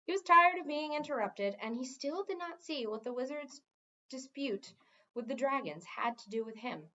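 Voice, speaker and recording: Animated woman reading a story aloud. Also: high voice, moderate pace, highs cut off. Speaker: read-aloud teacher. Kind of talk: expressive storybook narration.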